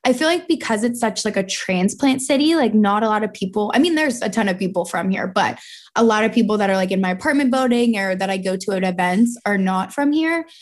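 A woman's voice: mid-range voice, lively intonation, a little fast. A young woman in her twenties co-hosting a podcast, bubbly and casual, laughing often and filling her talk with "like" and "dude.". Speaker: podcast co-host. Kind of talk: casual chat.

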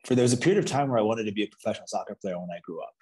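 A man in his thirties, raised in Canada and Florida, talks as a podcast guest. His voice sits somewhat low, and he speaks in an easy, conversational way. He often restarts his sentences. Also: racing, slightly rough. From Canada and Florida, USA. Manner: relaxed, conversational, with hesitant restarts. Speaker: company founder and guest. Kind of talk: podcast interview.

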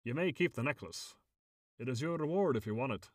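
An earnest young storybook boy, played by a man, plain-spoken and determined. The voice sits at a medium pitch and sounds dry and close. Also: animated, brisk, no room sound, lightly acted. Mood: kind, earnest.

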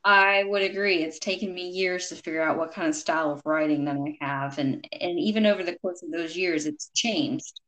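A woman's voice: on the low side, fairly bright tone, poor audio. A woman hosting a podcast who interviews her guest in a friendly, encouraging way, often saying "perfect". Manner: friendly and encouraging, quick affirmations. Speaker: podcast host.

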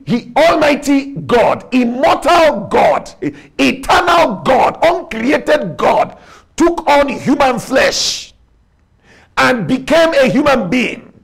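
Man, Nigerian accent, pastor preaching, high pitch, gravelly fry, emphatic, repeats himself, bursts into shouts. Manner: emphatic, repeats lines, spells words out; rises to shouts. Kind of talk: sermon.

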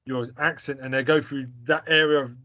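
Man, young adult, podcast co-host, rambling, opinionated, lots of fillers, medium pitch. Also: steady tone, normal speed, bright, forceful tone, lo-fi sound. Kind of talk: casual chat reviewing a film.

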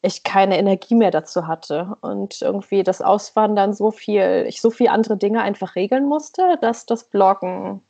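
Creaky, husky-voiced woman on dull call. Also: mid-range voice, normal speed, hardly any pauses, dark tone. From Germany. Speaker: podcast guest, emigrant blogger. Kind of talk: casual conversational interview. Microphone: internet call.

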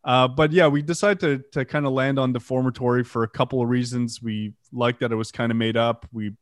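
A man's voice: medium pitch, fairly even intonation, a little fast, no room sound, clean studio sound. French Canadian man chatting loosely. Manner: rambling with fillers, self-deprecating, swears casually. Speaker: band vocalist and guitarist. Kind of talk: casual interview, storytelling.

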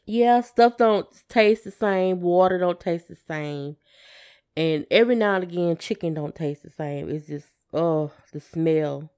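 A woman chatting casually as a podcast co-host in a hesitant, low-key way. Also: unhurried, darkish tone, clear, resonant tone. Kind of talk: casual chat.

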